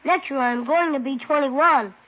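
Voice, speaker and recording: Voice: high voice, moderate pace, phone-quality sound, close to an everyday voice. A performer playing an eager, boastful six-year-old boy with an earnest, childlike manner in an old radio drama. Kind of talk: 1950s radio drama acting. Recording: thin, band-limited old radio recording.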